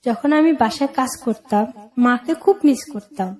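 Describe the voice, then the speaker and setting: High-voiced Bangladeshi woman, plain-spoken and plaintive. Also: moderate pace, darkish tone. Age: 30s. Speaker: guest telling her life story. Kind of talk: personal account in colloquial speech.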